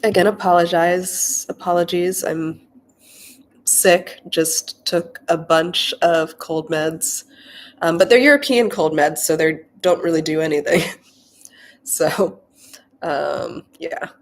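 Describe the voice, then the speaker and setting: A woman's voice: fairly low pitch, slow. A young woman with a cold and a nasal voice, chatting casually on a coding livestream with lots of filler ums. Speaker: livestreaming coder.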